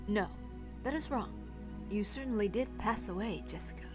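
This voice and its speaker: A woman voicing a polite male demon butler in a visual novel reading, speaking formally and with cool, measured mockery. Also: medium pitch, close to an everyday voice. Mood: composed, condescending. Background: music.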